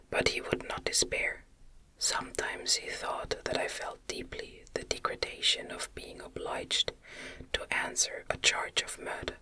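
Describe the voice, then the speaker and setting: A woman reading a gothic novel aloud as ASMR in a gentle, soothing, breathy whisper. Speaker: audiobook reader.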